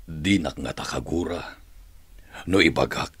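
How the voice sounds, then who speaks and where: An actor with an Ilocano accent playing a grave, brooding prisoner in a radio drama, speaking haltingly. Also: male voice, rumbling low, fairly bright tone, breathy edge, no room sound, heavily acted. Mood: pensive. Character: brooding jailed man, grave object of her love.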